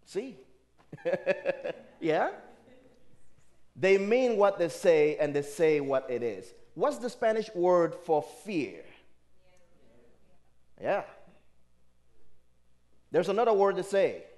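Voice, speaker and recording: Male spiritual speaker, Liberian accent and native dialect speaker. Fairly high, very lively pitch, emphatic motivational sermon delivery. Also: takes it slowly, long pauses. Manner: emphatic, rhetorical, questioning the audience. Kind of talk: motivational sermon to a live audience.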